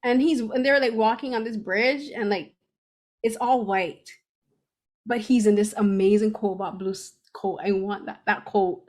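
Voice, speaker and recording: A woman from Barbados, hosting a casual podcast chat and talking in an animated, rambling way full of fillers and restarts. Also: medium pitch, normal speed. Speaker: podcast host. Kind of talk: casual chat about a TV drama.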